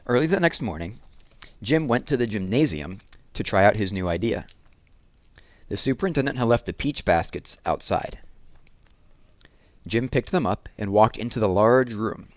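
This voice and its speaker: A man's voice: lowish voice, lively intonation, moderate pace, long pauses, no room sound. A man reading a children's story aloud as a storyteller.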